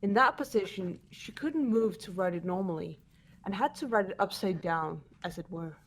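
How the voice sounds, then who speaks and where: A woman's voice: mid-range voice, normal speed, natural voice. A woman voicing a composed, incisive female student who explains evidence methodically in an amateur game dub. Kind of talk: amateur voice acting in a visual novel playthrough.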